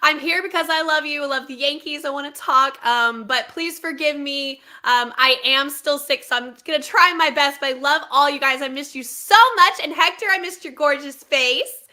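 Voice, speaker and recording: A woman's voice: high-pitched, moderate pace, few pauses, sharp tone. A woman co-hosting a casual sports talk livestream, chatty and affectionate, who is sick and losing her voice. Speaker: co-host.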